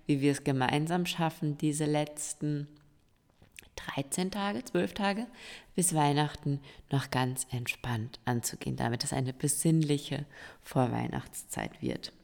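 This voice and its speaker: A woman of around forty, hoarse and stuffy-nosed, hosting a solo podcast and encouraging her listeners directly. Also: sits low, measured pace, a little mellow. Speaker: podcast host. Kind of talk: solo podcast monologue giving tips. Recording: long reverb with faint music.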